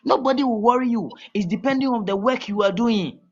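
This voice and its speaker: A man's voice: very high-pitched, soft background music. Ghanaian guy calling in, stop-start, repeats himself a lot, muffled dull audio. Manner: halting, repeats words, laughs often. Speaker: guest. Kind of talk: casual call-in interview.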